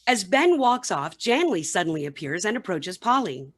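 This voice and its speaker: A woman's voice: mid-range voice, sing-song, normal speed. A woman reading scripted narration for a radio play, with some background noise.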